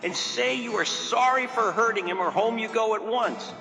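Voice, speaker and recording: A man's voice: high voice, normal speed, creaky, pitched above the performer's own voice. Man playing a bossy folksy crook, reverberant. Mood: stern. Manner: dry, folksy, commanding. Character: cool-headed, bossy, folksy grown male kidnapper. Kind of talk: storybook character voice. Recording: long reverb, music behind the voice.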